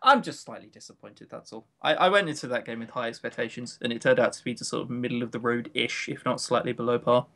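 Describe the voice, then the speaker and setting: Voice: mid-range voice, quick pace, creaky, hardly any pauses, pushed and bright. Casual podcast co-host, mid-range voice, dry sarcasm, talking over an online call. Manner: wry, sarcastic, swears casually. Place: remote voice call.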